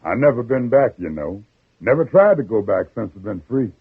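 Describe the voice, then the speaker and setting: Husky, folksy Southern backwoods man. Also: lowish voice, big pitch swings, fairly quick, exaggerated voice. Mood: wistful, folksy. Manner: drawling, folksy, chuckling. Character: folksy freed man in backwoods shack, slyly affable uncle. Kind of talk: old-time radio drama acting. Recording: narrow, muffled old radio recording.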